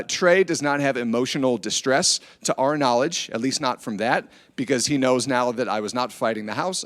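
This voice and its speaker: A middle-aged man with an American accent speaks to a group in an informal, motivational way. His voice sits at an average pitch. He tells stories conversationally and keeps inviting agreement with short tag questions. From Iowa, United States. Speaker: speaker at a church family event. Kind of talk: informal motivational talk with storytelling. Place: church, speaking to a gathered group.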